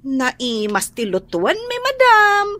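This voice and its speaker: An actress with an Ilocano accent playing a cheeky, talkative young housemaid in a radio drama. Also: female voice, high voice, wildly swooping pitch, slow pace, sharp tone, no echo, exaggerated voice. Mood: teasing.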